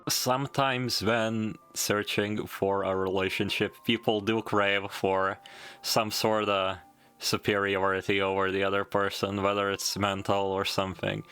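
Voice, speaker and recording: A man's voice: deep voice, level tone, moderate pace, a bit bright, clear, resonant tone, faint music behind. A man narrating in a casual, scripted video style, with wry, irreverent, slangy joking asides. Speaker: video essayist.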